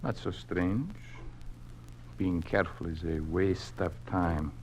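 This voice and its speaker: A man's voice: sits low, takes it slowly, darkish tone. A man acting in an old radio drama, playing a solemn, embittered scholar who is tired of hiding his defiance. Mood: bitter, resigned. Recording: faintly noisy vintage radio recording.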